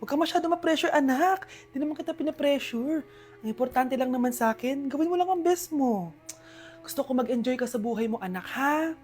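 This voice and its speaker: A woman voicing a gentle, caring middle-aged mother in a comic skit, speaking low and soothingly. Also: sing-song, slow pace, long pauses, soft background music. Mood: tender.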